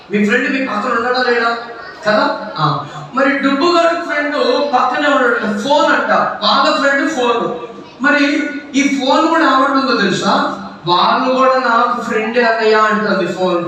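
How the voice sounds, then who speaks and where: A man hosting a live children's puppet show, bantering in an animated, questioning way and repeating himself. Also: very high-pitched, a little fast. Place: indoor church children's event. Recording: very echoey hall, distant, faint noise.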